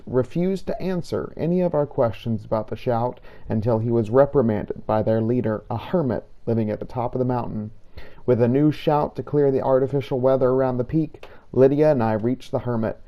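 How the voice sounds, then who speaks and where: A man's voice: mid-range voice, normal speed, highs cut off. A man hosting a game playthrough, reading scripted role-play narration as a dramatic storyteller. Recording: faint background noise.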